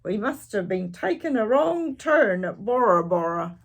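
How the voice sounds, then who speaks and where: Woman acting a male pirate captain, loud rough bellow, jovial and bossy, swooping pitch, storybook style. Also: big pitch swings, a little slow, exaggerated voice. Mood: hearty, blustering. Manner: hearty pirate talk, shouted orders.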